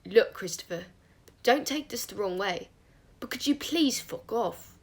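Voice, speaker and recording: A woman's voice: medium pitch, animated, a little slow. A woman voicing a bitter, sullen teenage schoolboy with a curt, surly manner in audiobook dialogue. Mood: groggy, irritated.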